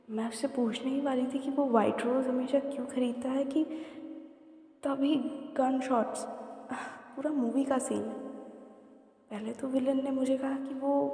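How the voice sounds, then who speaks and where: A woman voicing a cheerful, curious young woman in an ASMR roleplay. She speaks softly and gently, mixing in English words. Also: on the high side. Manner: soft-spoken, gentle, mixes in English. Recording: roomy echo with long reverb.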